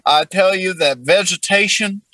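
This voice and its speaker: A man voicing a lewd, old-fashioned Southern gentleman with a florid, courtly drawl in an improvised comedy role-play. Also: sits high, measured pace, dry recording. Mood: courtly, suggestive.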